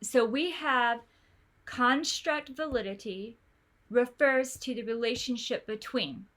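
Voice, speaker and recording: A woman's voice: fairly high pitch, a little slow, a bit bright. A woman coaching an online study group, talking in a chatty, encouraging way full of fillers and restarts over a video call. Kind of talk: online tutoring session.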